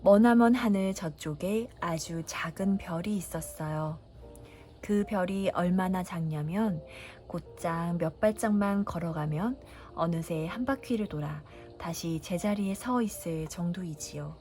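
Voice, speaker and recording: Mom reading a bedtime story, gentle and slow, faint music in the back. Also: female voice, lowish voice. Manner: gentle, unhurried. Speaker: storybook reader.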